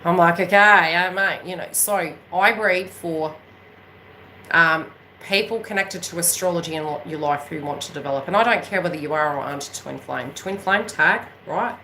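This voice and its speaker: She is a New Zealand woman with a lowish, forceful, bright-edged voice. She talks off the cuff like a spiritual coach on her own channel, emphatic and meandering, often tossing in little check-in questions. A faint noise sits under the recording. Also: normal speed, rough recording. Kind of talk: casual unscripted chat to viewers.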